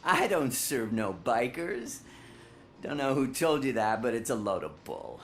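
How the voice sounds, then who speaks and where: A man's voice: medium pitch, expressive, measured pace, a bit bright, slight background noise. A man playing a cocky, evasive tattoo artist in a video game, talking in slangy, dismissive phrases. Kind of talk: video game cutscene acting.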